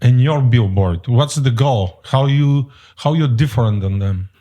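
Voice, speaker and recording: Mellow-voiced male podcast host, halting. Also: mid-range voice, muffled recording. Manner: halting, many fillers, repeats words. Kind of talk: interview podcast.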